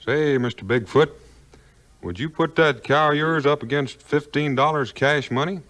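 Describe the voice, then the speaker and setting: A man's voice: a little high, normal speed, a bit bright, natural voice. An actor playing an easygoing, honest frontiersman with a folksy Southern US drawl in a 1950s record drama. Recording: 1950s vinyl record, music behind.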